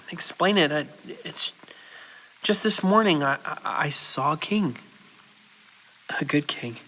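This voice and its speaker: An actor playing a humble, earnest runaway slave, speaking hesitantly and with heartfelt feeling in a Bible drama. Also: male voice, a little high, sharp tone, long reverb tail.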